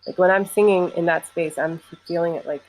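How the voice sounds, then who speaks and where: A woman's voice: lowish voice, steady tone, a little fast, poor audio. A young adult woman musician in casual conversation, talking loosely with many "like" fillers and easy laughter. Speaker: singer and musician.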